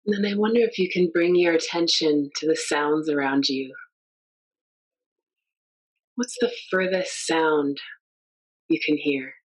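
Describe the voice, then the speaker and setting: A woman leading a guided meditation in a gentle, unhurried, inviting way. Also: low-pitched, rough recording.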